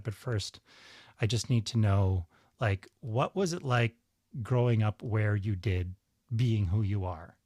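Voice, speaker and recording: A man hosting an interview show, speaking earnestly and effusively, with animated asides. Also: mid-range voice, no room sound, clean studio sound. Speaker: podcast host. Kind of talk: casual interview conversation.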